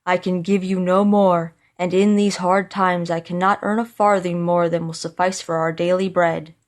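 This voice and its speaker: Storybook role: poor, sorrowful father, read by a woman, plain-spoken, measured, protective and admonishing. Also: lowish voice, flat delivery, natural voice. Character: poor, weary, sorrowful woodcutter father, gently scolding. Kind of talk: storybook character voice.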